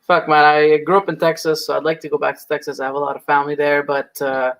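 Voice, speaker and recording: A man's voice: fairly high pitch, little pitch movement, fast talker, a bit bright. A man in his thirties from Lebanon, a guest talking casually and easily, swearing now and then. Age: 30s. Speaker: guest, snake breeder. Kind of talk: casual chat.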